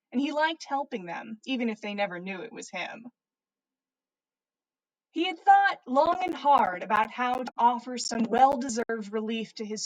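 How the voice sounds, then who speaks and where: A woman with a fairly high voice reads a story aloud as a livestream host. Her delivery is informal narration, with the casual feel of a podcast. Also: rough recording. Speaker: podcast host reading stories.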